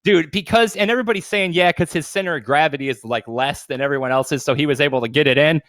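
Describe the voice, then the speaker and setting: A man's voice: a little high, fast talker, fairly bright tone, dry recording, studio quality. A man co-hosting a podcast in casual, slangy, profanity-laced banter and stories. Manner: profane, slangy bro talk. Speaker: podcast co-host.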